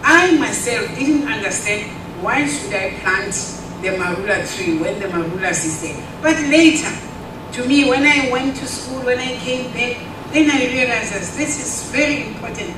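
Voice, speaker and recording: Woman in a documentary clip played over room speakers, earnest and emphatic, echoey and distant with a background rumble. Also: animated, normal speed. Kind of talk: interview in a documentary. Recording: echoey film audio played in a room from far off.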